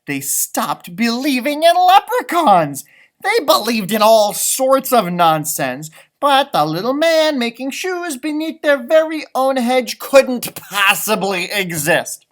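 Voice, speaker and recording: A man's voice: very high-pitched, very animated, slow pace, a bit bright, voice pushed up, full character voice. A man voicing a small, grumpy, boastful old leprechaun telling his own story in a storybook read-aloud. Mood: indignant. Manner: feisty, boastful, theatrical storytelling.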